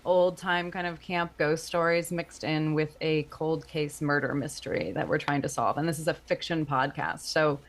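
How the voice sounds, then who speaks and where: A woman's voice: low voice, fairly even intonation, normal speed, few pauses, a little hiss or hum. A woman in her thirties speaking casually as an interview guest, explaining her creative work in a conversational way.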